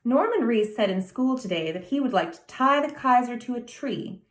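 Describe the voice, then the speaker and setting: A woman's voice: on the high side, lively intonation, moderate pace, roomy sound, natural voice. A woman voicing a serious, earnest small boy of nearly ten in a storybook reading. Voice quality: grave, emphatic childlike delivery.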